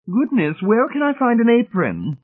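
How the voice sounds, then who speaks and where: A man's voice: very high-pitched, huge pitch swings, normal speed, no room sound, phone-quality sound, voice pushed up, full character voice. A man voicing a bossy, gushing older matron in a storybook reading, emphatic and coy. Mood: coy, fluttering. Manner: emphatic, joyous, fluttering.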